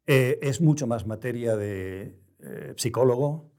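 A middle-aged man who is a writer, talking reflectively in an interview, choosing his words with pauses and restarts. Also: medium pitch, a little mellow. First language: Spanish. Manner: measured, searching for words, frequent restarts. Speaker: novelist, interview guest.

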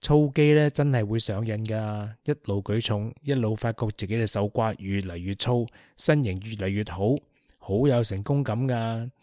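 A man's voice: fairly low pitch, normal speed, a little mellow, no echo, clean studio sound. A man with a Hong Kong Cantonese accent hosting a radio chat, joking and laughing easily. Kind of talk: casual chat.

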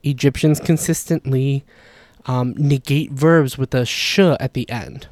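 A man's voice: medium pitch, unhurried, darkish tone, no room sound. A man casually explaining language features, chatty and self-deprecating, with apologetic asides and a Levantine Arabic background. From Levantine, Palestinian or Jordanian Arabic background. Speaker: language educator.

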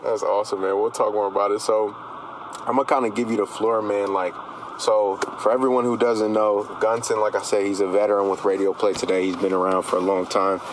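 A man's voice: flat delivery, fairly quick. A man hosting a podcast, chatting casually in a hyped, slangy way. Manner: slangy, heavy on "bro" and "man". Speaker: podcast host. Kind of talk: casual hyped interview chat. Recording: noisy line with rumbling background.

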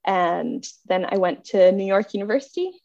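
A woman's voice: mid-range voice, animated, moderate pace, vocal fry, darkish tone, no echo. A young woman from Bangladesh with an American accent, a podcast guest talking casually and laughing often. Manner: chatty, self-deprecating, laughs often. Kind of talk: casual chat. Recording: dull, dry sound.

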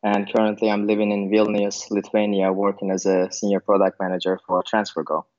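A man from Turkey with a low voice answers interview questions in a loose, searching way, full of fillers and restarts. The recording is dull and lo-fi. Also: monotone, fairly quick, darkish tone. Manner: thinks aloud with many fillers and restarts. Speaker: podcast guest, product manager. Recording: dull lo-fi sound.

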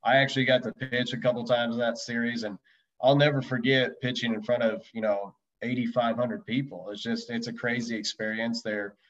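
A man's voice: medium pitch, fairly even intonation, fairly quick, fairly bright tone. A man reminiscing casually as an interview guest in a conversational way. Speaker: interview guest, former relief pitcher. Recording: dull lo-fi audio, distant from mic.

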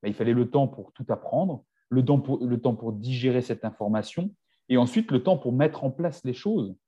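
A man's voice: mid-range voice, animated, normal speed, dark tone, husky, dull audio. A man in his forties, a fitness coach, speaking as a guest in a casual podcast chat, often restarting his sentences. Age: 40s. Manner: hesitant, many restarts and fillers.

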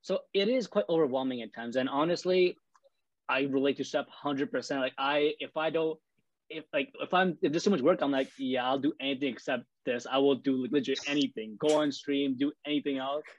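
A man's voice: mid-range voice, a little fast, no echo, dull audio. A man in his twenties chatting casually about student life, rambling with many fillers. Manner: rambling, heavy use of "like". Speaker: student podcast co-host. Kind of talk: casual chat.

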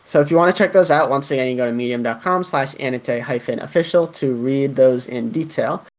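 A man co-hosting a relaxed podcast chat at an ordinary middle pitch. He rambles and restarts often, padding his talk with fillers as he explains things. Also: fairly quick. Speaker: podcast co-host.

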